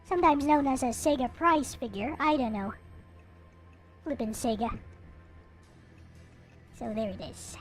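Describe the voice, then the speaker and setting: High-pitched woman in casual show-and-tell, music behind. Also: unhurried, long pauses. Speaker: streamer showing collectible anime figures.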